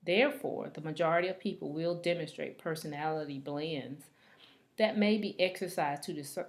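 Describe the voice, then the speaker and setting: A woman reading a scripted presentation aloud like a student, in a halting, deliberate manner. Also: deep voice.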